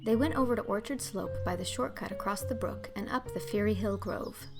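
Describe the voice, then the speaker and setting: A woman's voice: medium pitch, brisk, over music. A woman narrating a classic children's novel as a scripted audiobook.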